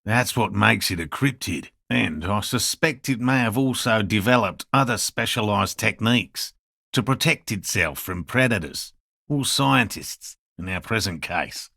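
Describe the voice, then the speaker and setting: An eccentric male cryptozoologist from a video game, earnest and polite to a fault. His voice is bright and edged with heavy fry, swinging expressively in pitch. He phrases things precisely, like a devoted field scholar. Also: expressive, measured pace, no room sound, studio quality, heavily acted. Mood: explaining, scholarly. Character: earnest eccentric male cryptozoologist scientist, obsessive but courteous. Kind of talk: video game dialogue voice lines.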